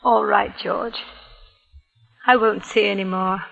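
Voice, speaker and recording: Slow, breathy, frail aunt. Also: female voice, mid-range voice, steady tone, a bit bright, exaggerated voice. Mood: earnest pleading. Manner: measured, pleading, breathy. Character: frail, gentle, resigned older aunt. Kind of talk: old-time radio drama acting. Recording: muffled vintage radio recording.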